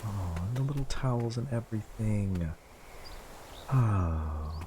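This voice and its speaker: A man casually commenting while playing a game, gasping and jumping high in pitch when surprised. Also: animated, slow pace, background noise. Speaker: streamer. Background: game music.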